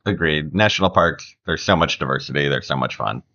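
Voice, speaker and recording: A middle-aged man with an extremely deep, gravelly voice talks casually on a family podcast. His delivery is plain-spoken yet animated in pitch, and the audio is slightly dull. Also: brisk. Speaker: podcast guest.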